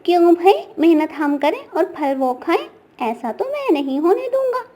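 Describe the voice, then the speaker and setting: Casting: woman as young male cartoon parrot, high and swooping, excitable, timid, selfish. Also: normal speed. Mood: indignant.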